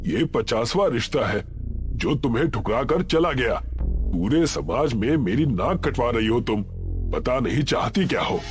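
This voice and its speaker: A middle-aged village father, put on by a male narrator, nags and gives orders in a cartoon tale. His delivery is highly animated, with faint music behind. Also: measured pace, close to an everyday voice. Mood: exasperated. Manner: scolding, commanding. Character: nagging, exasperated village father of a grown daughter.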